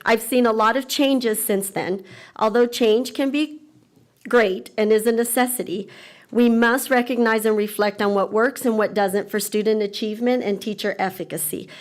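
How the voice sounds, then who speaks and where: A woman teacher giving an earnest, measured public comment at a school board meeting, reading a formal statement into a podium microphone. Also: a little high, fairly even intonation.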